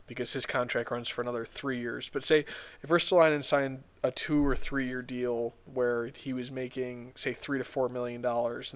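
Podcast host, male, hoarse and stuffed up, level monotone delivery, methodical talk. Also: medium pitch. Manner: analytical, measured, restarts words often. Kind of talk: solo sports podcast commentary.

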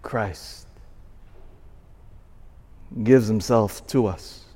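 A man in his forties, a parish priest giving a sermon, swinging from shouted emphasis to quiet asides. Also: lowish voice, drawn-out words, slightly rough. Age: 40s. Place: church. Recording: long church reverb.

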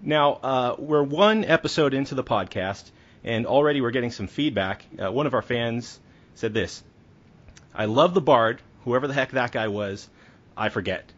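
A man's voice: medium pitch, animated, moderate pace, fairly bright tone. A man hosting a casual podcast interview, asking friendly, upbeat questions with some fillers. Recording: dull lo-fi remote audio.

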